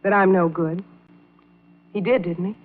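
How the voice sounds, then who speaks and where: A woman's voice: lowish voice, steady tone, measured pace, natural voice. A woman acting an unhappy, pleading wife with a melodramatic manner in an old-time radio drama. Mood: hurt, searching. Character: unhappy, pleading young wife confiding in a man. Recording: muffled old radio recording.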